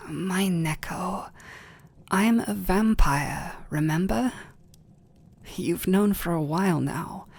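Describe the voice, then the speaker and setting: Guy acting as overworked british vampire lord, asmr romance, soft breathy voice, really slow and halting, gentle and proper. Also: high-pitched, heavily acted. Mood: insistent, evasive. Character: gentle, overworked aristocratic male vampire lord, restrained and devoted.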